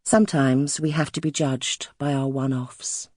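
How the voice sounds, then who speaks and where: A woman narrating first-person literary fiction as an audiobook, in a wry, expressive storytelling manner. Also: a bass voice, measured pace, no room sound, studio quality.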